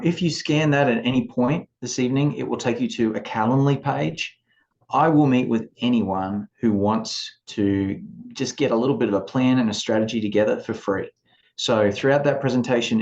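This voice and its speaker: A man in his forties with an Australian accent, giving a measured, explanatory talk to students as an admissions advisor over a video call. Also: mid-range voice, a little mellow. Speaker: law school admissions advisor. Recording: dull lo-fi video call audio.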